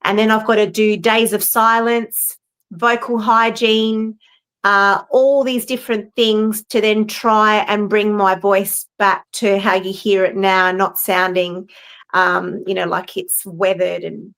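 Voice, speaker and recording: A woman's voice: mid-range voice, level tone, slow, fairly bright tone, clean tone. A middle-aged woman, a professional singer, talking casually on a video call, rambling with many fillers and restarts. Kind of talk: casual roundtable chat. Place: home, live-streamed video call.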